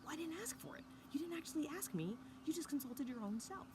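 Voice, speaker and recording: A woman talking casually to camera in a hushed early-morning voice, recording outdoors by a fire pit with a mountain view. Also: sits high, animated, fast. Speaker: vlogger. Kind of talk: casual reflective talk to camera. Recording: outdoor ambience with background noise.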